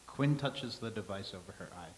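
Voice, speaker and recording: A man reading stage directions aloud in a staged reading, in a plain, even way. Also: on the low side, normal speed.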